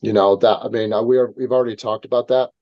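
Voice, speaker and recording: A man's voice: medium pitch, steady tone, fast talker, no room sound. Earnest man on a dull, dry line. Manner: earnest, explains with hypothetical quotes. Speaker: self-defense instructor guest. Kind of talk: casual podcast conversation.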